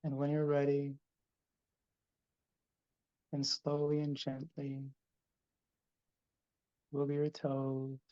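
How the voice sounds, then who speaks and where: A man hosting a live guided meditation over a video call, speaking slowly and softly. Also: mid-range voice, monotone, clean tone, no room sound, highs cut off. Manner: slow, soft, soothing delivery. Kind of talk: guided meditation, then casual chat.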